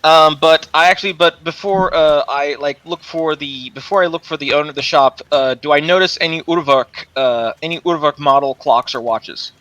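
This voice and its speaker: A man playing a tabletop game, talking casually and restarting his sentences. His voice is bright and a little high. It sounds dry and close, as through a headset on a voice call. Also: normal speed, few pauses, no echo. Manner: casual, many fillers and restarts. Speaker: player. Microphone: headset over a voice call.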